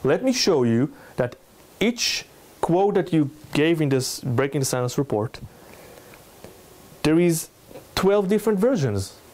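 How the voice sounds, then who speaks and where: A man in his thirties with an Israeli accent, lecturing in halting but emphatic non-native English. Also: fairly high pitch, sing-song, darkish tone. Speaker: lecturer, NGO researcher. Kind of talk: public lecture with slides. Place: indoor salon room, speaking to a small audience.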